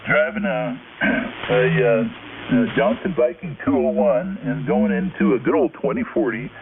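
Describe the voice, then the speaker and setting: A folksy man from Texas runs a ham radio net and chats easily with each caller. His voice is gravelly and goes up and down a lot. It comes through a tinny radio link from a bit off the mic. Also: mid-range voice, very animated, unhurried. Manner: folksy, chatty, laughs easily. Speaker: net control operator. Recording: tinny radio, faint hiss, distant.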